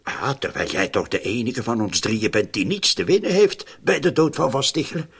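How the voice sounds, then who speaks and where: An actor playing an uneasy, suspicious criminal plotter in a radio play, speaking in a halting, insistent way and repeating his words. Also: medium pitch, animated, fairly bright tone, slightly rough, heavily acted. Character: uneasy, suspicious male criminal plotter, brooding over a murder. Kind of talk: Dutch-language radio play acting.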